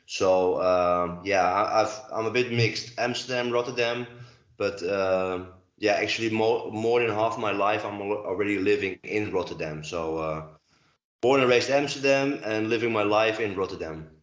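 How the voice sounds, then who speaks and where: A man's voice: on the low side, normal speed, reverberant, muffled recording. A Dutch man in his forties, speaking English with a Dutch accent as a guest in a casual interview, hesitant and full of fillers. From Netherlands. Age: 40s. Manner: hesitant, many fillers and restarts. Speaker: guest, dancer and choreographer. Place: at home.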